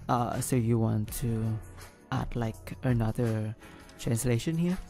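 Gravelly hesitant man explaining a tutorial. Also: mid-range voice, takes it slowly, warm tone, soft background music. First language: not English. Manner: hesitant, many ums and restarts. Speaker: business analyst tutorial host. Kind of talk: screen-recorded software tutorial.

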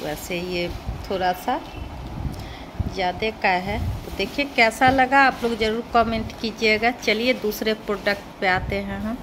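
A woman's voice: medium pitch, unhurried. Middle-aged woman, noisy recording. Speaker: vlogger. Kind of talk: casual unboxing narration to viewers. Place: indoor room at home. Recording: clearly noisy home phone recording. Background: clear background noise.

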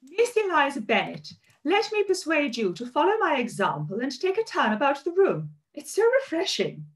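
A high-pitched, arch society lady, played by an actress in a period radio play. She is flirtatious and snobbish, and her voice swoops theatrically. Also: very animated, normal speed, fairly bright tone. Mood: sweetly persuasive. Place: home kitchen, on a video call. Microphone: video call.

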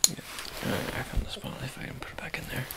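A man in his thirties doing an ASMR surgeon roleplay, talking softly and offhandedly, often slipping into whispers, close to the mic in a small dark room. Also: fast, rough recording. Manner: soft, rambling and offhand, drifting into whispers. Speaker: ASMR creator playing a surgeon. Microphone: close-up camera held near the face.

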